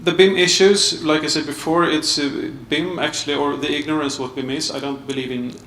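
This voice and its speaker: A man giving a conference panel talk, halting with restarts and many uhs. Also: a little high, fairly even intonation, fairly bright tone. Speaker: panel speaker, architect. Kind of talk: conference presentation. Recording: echoey hall, mic off at a distance, faint room noise.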